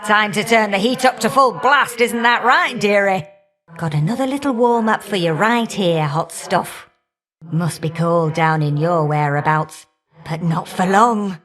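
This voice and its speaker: A woman's voice: mid-range voice, measured pace, fairly bright tone, faint music behind, natural voice. Woman doing a fiery older goddess for a game, cheeky and folksy, teases you fondly. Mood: fiery, gleeful. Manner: folksy, teasing, affectionate endearments and colloquial turns of phrase. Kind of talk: video game character voice acting.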